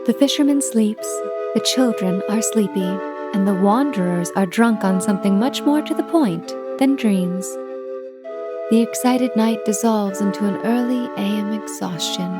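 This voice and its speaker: An actress playing a flirtatious, dreamy 1950s telephone operator who narrates stories in a poetic style. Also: female voice, mid-range voice, moderate pace, close to an everyday voice. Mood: dreamy, wistful. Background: music.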